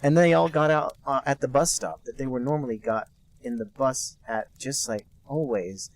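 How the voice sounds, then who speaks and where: A man co-hosting a podcast, reading a bad fan story aloud in a deadpan, mock-serious way. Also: mid-range voice, moderate pace, no room sound. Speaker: podcast co-host reading a fanfic. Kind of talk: comic dramatic reading.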